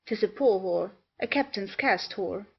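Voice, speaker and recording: A woman's voice: medium pitch, unhurried, vocal fry, slightly rough, highs cut off. A woman playing a mocking, sardonic gossip in a period stage comedy.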